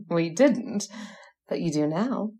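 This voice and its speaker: A woman's voice: on the low side, expressive, slow pace, warm tone, slightly rough, full character voice. Seductive, commanding witch. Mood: coy, teasing. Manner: coaxing, imperious, teasing. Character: seductive, commanding immortal witch bride, eerily coy. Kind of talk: old-time radio horror acting.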